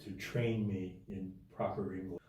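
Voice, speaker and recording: A man telling his life story as an interview guest in a casual, halting way, with many restarts and fillers. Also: low-pitched, level tone, dark tone, heavy reverb, recorded from a distance.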